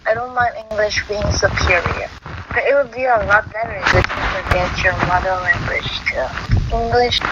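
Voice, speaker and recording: A woman gives a short opinion softly, at times near a whisper. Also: medium pitch. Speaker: interviewee. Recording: muffled with loud traffic noise.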